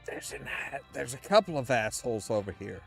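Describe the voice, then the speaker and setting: A man's voice: mid-range voice, very animated, fairly quick, creaky, faint music behind. A man giving casual, slangy, sweary commentary while playing a video game. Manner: profane, slangy.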